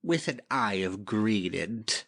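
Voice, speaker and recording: A man's voice: on the low side, expressive, slow pace, gravelly, fairly bright tone, heavily acted. A man playing a cynical, idle-witted nobleman who delivers sneering quips in a Shakespeare audiobook. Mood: mocking. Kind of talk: dramatic Shakespeare audiobook reading.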